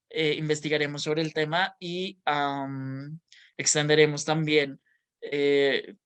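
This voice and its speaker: Smooth-voiced polite Q&A moderator. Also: medium pitch, fairly bright tone. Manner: polite, measured, with fillers. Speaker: museum guide and moderator.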